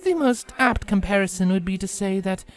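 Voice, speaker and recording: A woman's voice: medium pitch, normal speed, soft background music, lightly acted. Woman voicing a regal pony night princess. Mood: solemn, calm. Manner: formal, stately, uses royal we.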